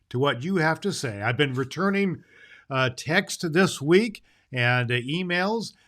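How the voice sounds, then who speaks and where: A man's voice: a little high, fairly bright tone. A man playing a jolly, kindly Santa Claus, chatting to children in a folksy, rambling way on a festive storytelling podcast.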